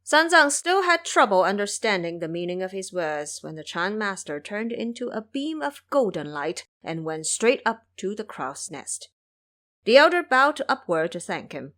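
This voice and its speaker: A woman narrating a scripted audio drama in an expressive storybook manner. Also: medium pitch, normal speed.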